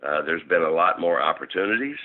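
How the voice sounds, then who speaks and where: A man's voice: sits low, animated, a little fast. A man answering interview questions as a club management consultant, explaining steadily with many fillers. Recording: tinny phone line.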